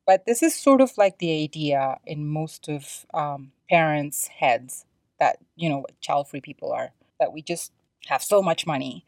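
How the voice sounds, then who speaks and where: A woman's voice: low voice, expressive, unhurried, a little mellow, dry recording, studio quality. A woman hosting a podcast solo, talking in a conversational, explanatory and opinionated way. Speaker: podcast host.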